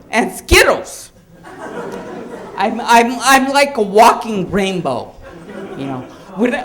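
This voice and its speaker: A male stand-up comedian from Hawaii telling jokes on stage in a halting way, with restarts and chuckles. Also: a little high, a bit bright.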